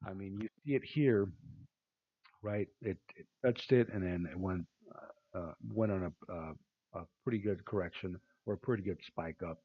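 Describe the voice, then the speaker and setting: A man giving casual chart analysis with a raspy, tired voice, rambling with many fillers and restarts. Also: low voice, normal speed. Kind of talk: casual screen-share market analysis. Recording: tinny phone-like sound.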